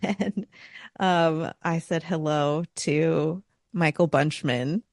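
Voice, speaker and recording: A woman co-hosts a podcast, a cold in her voice. She talks very slowly with a gravelly, fry-heavy tone and laughs often. The sound is dry and close. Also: low-pitched, fairly even intonation, a little mellow, dry recording. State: has a cold, wheezy. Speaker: podcast co-host, university music teacher. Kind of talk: casual chat over a video call. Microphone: remote call mic.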